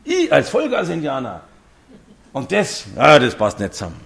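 A man's voice: a little high, wildly swooping pitch, moderate pace, gravelly, fairly bright tone. A man, preaching a sermon to a congregation and telling stories with direct questions to his listeners. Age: 50s.

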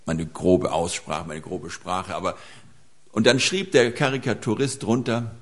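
A man delivers a sermon at an unhurried, slow pace. His voice sits on the low side, and he builds his points through anecdotes, stopping now and then to hesitate. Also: steady tone. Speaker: preacher.

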